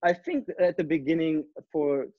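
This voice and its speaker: A man's voice: fairly high pitch, normal speed, no echo, dull audio. A man in his thirties from East Germany with a German accent, chatting informally as an interview guest and often saying "you know.". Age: 30s. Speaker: podcast guest, breakdancer. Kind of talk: casual interview storytelling.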